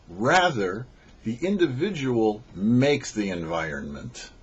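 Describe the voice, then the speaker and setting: A man who is a rabbi, giving a short weekly Torah teaching with measured, slow emphasis on key phrases. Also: mid-range voice, very animated, slightly rough, lo-fi sound. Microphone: studio microphone.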